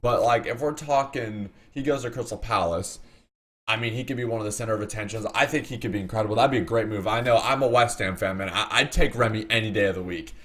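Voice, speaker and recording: Guy with kinda low voice rambling about soccer, repeats himself a lot. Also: fast, a bit bright. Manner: rambling, repeats words, lots of fillers. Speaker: guest YouTuber. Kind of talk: casual soccer opinions.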